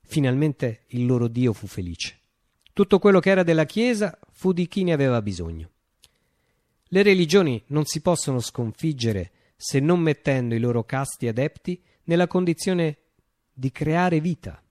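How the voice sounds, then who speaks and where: Theatrical, sweepingly expressive male revolutionary. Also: a little high, normal speed, dry recording, studio quality. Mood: declamatory, earnest. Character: visionary revolutionary man recounting a utopian upheaval. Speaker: radio theatre actor performing a monologue. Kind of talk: theatrical monologue, radio drama storytelling.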